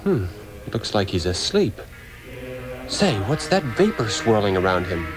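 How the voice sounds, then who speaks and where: Skeptical hero doctor, brash, fairly low voice, vintage radio serial delivery, clear music bed. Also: male voice, very animated, measured pace, low-quality recording. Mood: scornful, skeptical. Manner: blunt, exclamatory, theatrical. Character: cynical, brash male ex-surgeon, skeptical, impatient. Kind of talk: 1960s radio drama acting. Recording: old radio drama, music behind.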